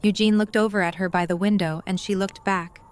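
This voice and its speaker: A woman's voice: mid-range voice, steady tone, a little fast. A woman reading scripted third-person narration for an audio drama.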